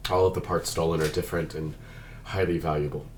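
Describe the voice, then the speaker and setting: A man's voice: a bass voice, level tone, fast talker. A man reading a script at a cast table read, mixed with casual chat. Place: indoor room at a group table read. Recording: noisy room recording.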